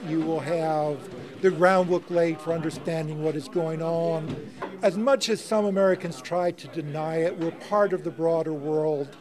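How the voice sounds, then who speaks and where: A middle-aged man, a faculty panelist, explaining earnestly in an interview and repeating his points for emphasis. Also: on the high side. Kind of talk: interview soundbite. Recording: crowd noise behind. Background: crowd chatter.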